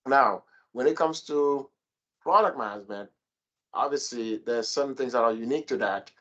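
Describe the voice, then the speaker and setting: Man, program manager, structured explanation, mid pitch, video call, slightly muffled. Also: normal speed, a bit bright. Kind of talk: explanatory panel answer.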